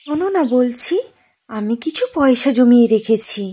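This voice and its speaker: A woman's voice: a little high, expressive, moderate pace, dark tone, close to an everyday voice. A woman playing a woodcutter's caring, practical wife in a cartoon, in a coaxing, reassuring manner. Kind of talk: cartoon moral-story dialogue. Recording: faint noise with birdsong.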